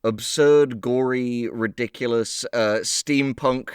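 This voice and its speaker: A man's voice: medium pitch, fairly even intonation, takes it slowly, smooth, no room sound, studio quality. A man co-hosting a casual comedy podcast, joking and often laughing as he talks. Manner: jokey, laughs while talking. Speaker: co-host.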